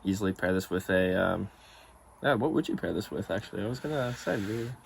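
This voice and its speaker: A young man co-hosting a cigar review show, chatting in a relaxed, hesitant way with many pauses. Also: low-pitched, vocal fry, a little hiss or hum. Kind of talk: casual review chat.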